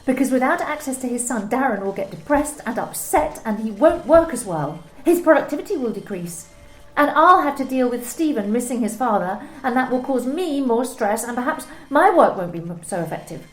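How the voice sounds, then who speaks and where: A woman's voice: on the high side, animated, fairly quick, echoey room, lightly acted, low-quality recording. A woman playing a subdued, pressured young working mother in an amateur radio play reading. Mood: pleading. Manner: subdued, pleading. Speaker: employee and mother.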